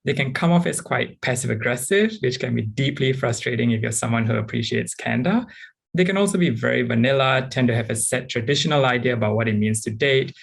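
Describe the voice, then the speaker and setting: A man, frankly and thoughtfully sharing personal experiences as a panelist, often pausing with ums. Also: medium pitch, gravelly, husky. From Singapore. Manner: reflective and frank, with frequent ums. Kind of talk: candid personal account on a panel.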